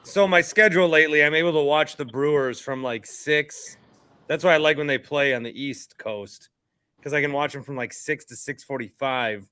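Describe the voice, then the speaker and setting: A man's voice: a little high, normal speed, bright, forceful tone, clear, resonant tone, dry recording. A middle-aged man hosting a sports podcast in a casual, chatty way.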